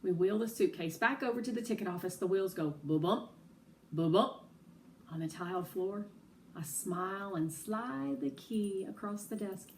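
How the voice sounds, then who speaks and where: A woman reading a children's story aloud as an expressive storyteller, with animated, dramatic delivery. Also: medium pitch, normal speed, distant mic, a little hiss or hum.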